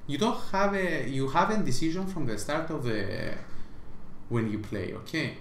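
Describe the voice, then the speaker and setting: A man's voice: a little high, expressive, normal speed, roomy sound, slight background noise. A man who does not speak English natively, giving an emphatic opinion rant as a small gaming vlogger, repeating himself and stumbling through restarts.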